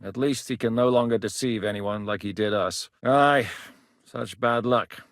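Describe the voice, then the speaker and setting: A man voicing a blunt, steady mercenary captain in a video game, gruff and plain-spoken, recorded in a dry studio booth. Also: medium pitch, a little slow, no room sound. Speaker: mercenary leader.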